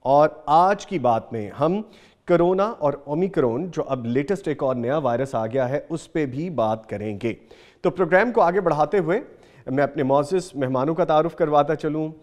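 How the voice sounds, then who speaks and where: A man in his thirties presents a morning show from a TV studio, his voice pitched in an ordinary middle range. He speaks formally and earnestly, and he addresses his guests with courtesy. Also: darkish tone. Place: TV studio set.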